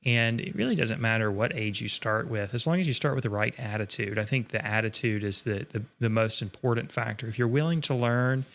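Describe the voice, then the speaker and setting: A man's voice: medium pitch, fairly quick, gravelly, few pauses, a bit bright. Earnest encouraging male art instructor. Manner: earnest, encouraging, speaks in long reflective runs. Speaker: art instructor co-hosting a live stream. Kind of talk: live-stream chat, reading viewer comments.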